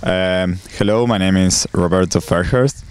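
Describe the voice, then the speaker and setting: A young man from Argentina, a graduate student introducing himself outdoors on a handheld mic, calm and hesitant with ums. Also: low-pitched, moderate pace, clear, resonant tone. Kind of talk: on-camera introduction. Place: outdoors by a lake.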